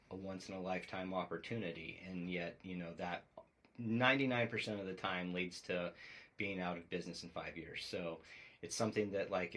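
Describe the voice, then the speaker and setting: Very deep-voiced man in his forties. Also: a bit bright. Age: 40s. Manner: reflective, rambling storytelling with many fillers. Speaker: podcast co-host. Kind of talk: casual podcast chat.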